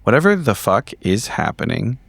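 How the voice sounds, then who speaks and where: A man's voice: on the low side, sing-song, normal speed, gravelly, no echo. A man playing a tabletop roleplaying game, improvising in character with casual, sweary, analytical talk.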